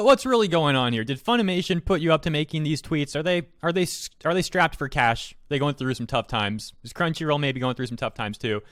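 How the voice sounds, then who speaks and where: A man's voice: on the high side, fairly bright tone, no room sound, clean studio sound. A man hosting an opinionated online commentary, talking in a sarcastic, mocking way full of pointed rhetorical questions. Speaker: YouTube commentary host. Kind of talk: opinionated online commentary, reading out tweets and reacting.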